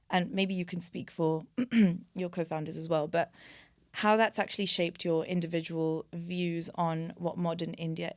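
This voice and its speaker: A woman's voice: fairly low pitch, dry recording, clean studio sound. A woman hosting a podcast interview, asking long, curious questions full of fillers. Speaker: podcast host.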